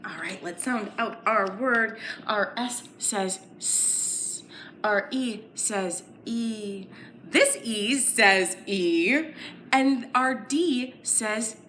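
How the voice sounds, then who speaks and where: A woman's voice: mid-range voice, extremely slow, fairly bright tone, a little hiss or hum. A woman leading a children's story time lesson, playful and exaggerated, encouraging little listeners with questions and sing-along phrases.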